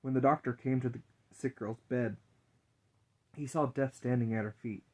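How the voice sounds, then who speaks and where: Husky man reading a fairy tale dramatically. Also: mid-range voice, fairly even intonation, moderate pace. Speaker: storyteller.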